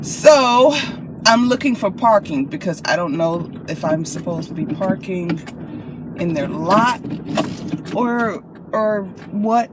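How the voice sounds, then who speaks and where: An American woman vlogs casually from inside a car, with clear vehicle noise around her. Her voice sits at an average pitch, and she tells stories in a playful, expressive, animated way. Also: takes it slowly. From Chicago, United States. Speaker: vlogger and actress. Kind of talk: casual chatty vlog.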